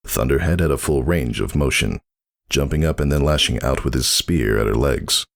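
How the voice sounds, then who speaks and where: A man's voice: extremely low pitch, moderate pace, gravelly. A man narrating a story as a dramatic reading, solemn and theatrical. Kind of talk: dramatic scripted fan fiction narration.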